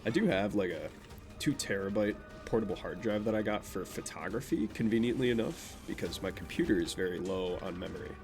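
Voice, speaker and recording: A man's voice: on the low side, a little fast. A young man with a naturally nasal voice, rambling in casual gameplay commentary and chatting offhandedly about his life. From Vermont, USA. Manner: rambling, self-deprecating, offhand. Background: game music.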